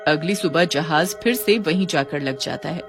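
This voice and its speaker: A woman's voice: low-pitched, normal speed, over music. A woman reading scripted narration for a cartoon story.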